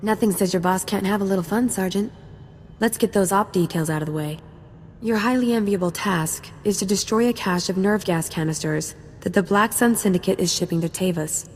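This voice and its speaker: A woman's voice: mid-range voice, fairly quick, darkish tone, big-room sound, slight background noise, close to an everyday voice. A woman playing a confident, wry young special forces sergeant in a video game, casual and playful. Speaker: soldier giving mission briefing. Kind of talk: scripted game dialogue.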